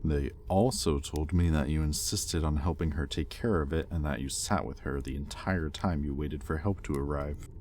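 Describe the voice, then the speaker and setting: A man playing an exasperated, possessive demon master in an audio roleplay, sardonic yet grudgingly caring, speaking with pointed pauses. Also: a bass voice, a little mellow, a little hiss or hum. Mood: stern, troubled. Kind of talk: intimate audio roleplay, dramatic monologue.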